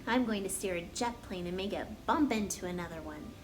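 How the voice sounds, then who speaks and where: A woman voicing an eager young farm boy who begs for treats in an excited, pleading way. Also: fairly low pitch, a little fast, close to an everyday voice.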